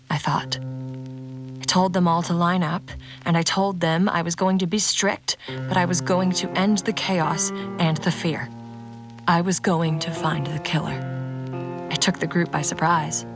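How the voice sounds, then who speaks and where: An actress playing a determined, commanding woman who recounts her story in a measured, intimate audio drama monologue. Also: lowish voice. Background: music.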